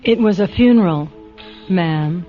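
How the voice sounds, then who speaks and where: An actress playing a determined underclass woman in a radio drama, formal and restrained yet quietly defiant. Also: fairly low pitch, unhurried, close to an everyday voice. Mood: guarded, deferential. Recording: dull radio recording, faint music.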